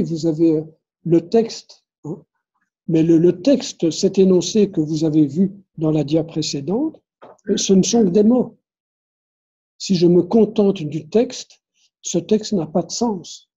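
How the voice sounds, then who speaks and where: Dark husky-voiced Belgian man lecturing. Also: on the high side, takes it slowly, long pauses. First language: Dutch. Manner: hesitant, restarts often, tag "hein". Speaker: conference speaker, education trainer. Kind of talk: conference presentation with slides. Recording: muffled lo-fi recording.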